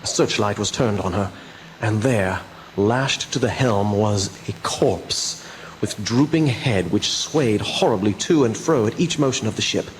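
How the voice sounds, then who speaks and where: An actor playing a grave doctor who reads evidence aloud in a measured, portentous radio drama style. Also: male voice, on the low side, a little hiss or hum, natural voice, low-quality recording. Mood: grave, suspenseful. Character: grave male doctor presenting evidence, reading aloud solemnly.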